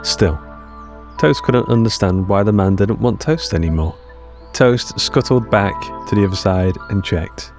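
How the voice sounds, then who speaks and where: A man narrating scripted audio fiction in a measured, deliberate storytelling manner with short dramatic phrases. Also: fairly low pitch, a little mellow. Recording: music under the narration.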